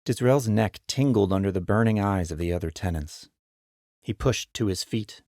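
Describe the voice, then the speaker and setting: A man's voice: on the low side, normal speed, a little mellow, no echo, studio quality. A man narrating a dramatized audiobook in a scripted, dramatic storytelling style.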